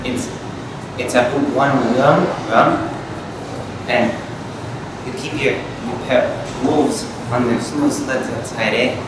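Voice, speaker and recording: A man's voice: medium pitch, fairly even intonation, slow pace, pauses often, voice pushed down, lightly acted. A man playing a folksy, grumbling stereotypical Canadian with a Canadian accent, tagging remarks with questioning interjections in improvised comic banter. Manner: tags nearly every remark with a questioning interjection. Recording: very echoey, distant, noisy room.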